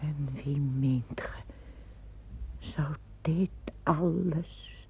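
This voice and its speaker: An actress playing an old, tired empress in a radio play, speaking in a breathy, hushed voice that often sinks to a whisper. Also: female voice, low voice, drawn-out words, a little hiss or hum, exaggerated voice. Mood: weary. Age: older. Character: old weary empress, fearful and plain-spoken. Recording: tinny lo-fi old radio recording.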